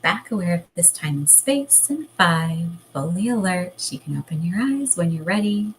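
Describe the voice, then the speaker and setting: A woman, a hypnotherapist, leading a guided hypnosis and then chatting as a guest in a calm, gentle way. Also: on the low side, moderate pace, slight background noise, rough recording. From United States. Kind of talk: guided hypnosis then casual interview chat.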